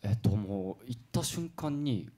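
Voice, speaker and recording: A man chatting politely as a guest performer on a radio talk show, telling stories excitably. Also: lowish voice, a little slow. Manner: excitable, animated storytelling with acted-out quotes. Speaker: guest performer new to voice acting.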